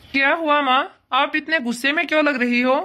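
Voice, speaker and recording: A woman's voice: high voice, steady tone, fairly quick, sharp tone. A woman voicing a domineering, scornful young husband, bossy and condescending, in cartoon moral-story acting. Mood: scolding.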